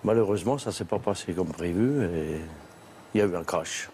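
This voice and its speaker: A man from Valais with a Swiss French accent, telling his story haltingly as a guest on a TV talk show. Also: low voice, lively intonation, a little mellow, slight background noise. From Switzerland, Valais. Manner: halting, restarts with many fillers. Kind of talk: studio TV talk show interview. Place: TV studio set.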